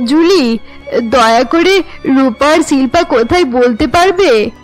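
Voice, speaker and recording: A woman's voice: high-pitched, animated, slow, faint music behind. A woman voicing a stern, distressed middle-aged mother of two daughters in a cartoon. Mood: pleading. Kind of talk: Bengali cartoon dialogue.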